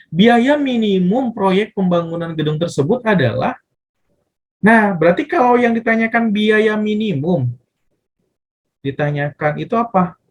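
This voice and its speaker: A man explaining math step by step as a teacher, often asking questions and answering them himself and repeating phrases. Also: sits high. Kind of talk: casual step-by-step tutorial explanation.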